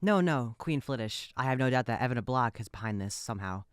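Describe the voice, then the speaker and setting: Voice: medium pitch, animated, a little fast, smooth, no room sound, studio quality, close to an everyday voice. An actor in an audio drama playing an earnest, loyal royal retainer with a formal, declamatory manner. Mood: gallant, resolute.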